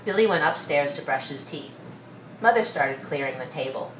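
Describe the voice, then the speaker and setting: A woman reading a children's story aloud with expressive, measured storytelling. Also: low voice. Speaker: storybook reader. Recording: roomy, distant mic, faint noise.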